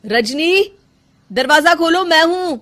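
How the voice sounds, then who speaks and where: A woman's voice: very high voice, expressive, slow, a bit bright, voice pushed up, full character voice. A woman voicing a caring, puzzled young husband with an earnest, questioning manner in a cartoon story. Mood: concerned.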